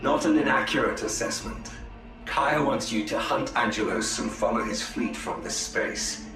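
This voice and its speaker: An actor playing a composed, formal elf spymaster. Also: male voice, medium pitch, animated, normal speed, roomy sound, recorded from a distance, over music, lightly acted, low-quality recording. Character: cool male elf spymaster, calm and formal.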